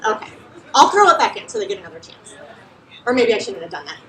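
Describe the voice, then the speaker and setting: A woman's voice: medium pitch, sing-song, fast, a bit bright, slight background noise. Woman emcee, upbeat and chatty, in a roomy bar with a faint crowd murmur. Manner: upbeat, chatty, laughing easily. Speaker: host introducing readers. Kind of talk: live event hosting and raffle. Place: bar with a live audience. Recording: roomy live bar sound.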